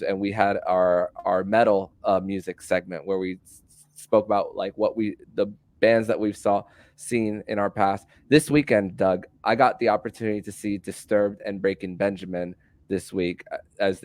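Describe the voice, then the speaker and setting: Low-voiced man in his thirties hosting. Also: fairly even intonation, darkish tone, no room sound. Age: 30s. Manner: halting, repeats words while asking questions. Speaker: podcast host. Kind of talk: casual podcast chat.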